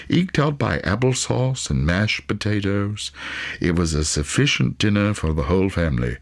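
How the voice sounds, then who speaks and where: Male audiobook narrator: low register, unhurried, highly animated, theatrical storytelling delivery. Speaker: audiobook reader.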